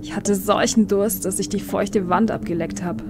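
A woman's voice: medium pitch, steady tone, moderate pace, gravelly, over loud music, natural voice. Woman playing a desperate young kidnapped heiress. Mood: exhausted, despairing. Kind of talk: audio drama monologue and acting.

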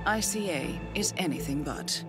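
An actress playing a composed, businesslike intelligence handler, giving formal, measured, articulate mission briefings in a video game. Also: female voice, low-pitched, expressive, loud music behind the voice.

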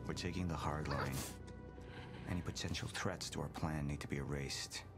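Gravelly, brooding ruthless schemer. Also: male voice, rumbling low, steady tone, normal speed. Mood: grave, cold. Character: brooding, ruthless middle-aged man plotting to control fate. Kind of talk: dramatic cinematic narration. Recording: music under the voice.

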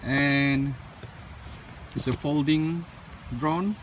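Unscripted outdoor unboxing: man with a slightly higher voice, a bit far from the mic, with traffic noise behind. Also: steady tone. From Malaysia. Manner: unscripted, halting, with frequent "uh" and non-standard English grammar. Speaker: drone reviewer. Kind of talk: casual unboxing narration. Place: outdoors on pavement.

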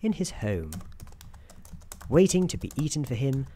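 A soft-spoken woman narrating an ASMR story in a deliberate, wry storybook manner, with keyboard typing behind her. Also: extremely low pitch, sing-song. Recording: constant keyboard typing and clicking noise. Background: keyboard typing and tapping.

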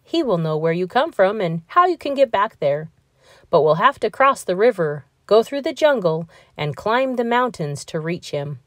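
A woman's voice: mid-range voice, very animated, normal speed, fairly bright tone, dry recording, clean studio sound. A woman voicing a big, friendly male hippopotamus from a children's storybook, playful and hearty. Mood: helpful.

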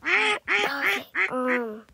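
Over clear background noise, a woman plays a busy mother in a pretend-play game story. Her voice is high and her pace is unhurried. She murmurs hesitantly, as if thinking aloud while organising the family. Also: animated, exaggerated voice. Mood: coaxing.

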